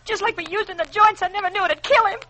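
An actress playing a brassy, scheming gangster's moll, slangy and wheedling, in an old-time radio detective drama. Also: female voice, very high-pitched, fast, sharp tone, slight background noise. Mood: brassy, eager.

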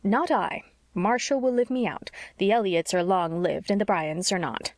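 A woman voicing a blunt, sharp-tongued older spinster in an audiobook story, with a brisk, scolding manner. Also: medium pitch, no echo, studio quality, close to an everyday voice. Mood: tart.

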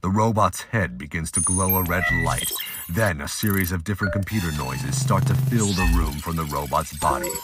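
A man's voice: low voice, moderate pace, over loud music. A man giving scripted, audiobook-style narration for an audio drama.

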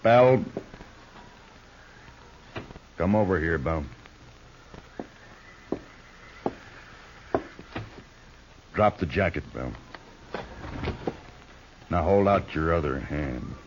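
A noisy, muffled 1950s radio recording carries a bullying backwoods outlaw. He is a low-voiced American man who drawls his folksy threats with deliberate, suspicious menace. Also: expressive. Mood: cold menace. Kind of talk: 1950s radio western acting.

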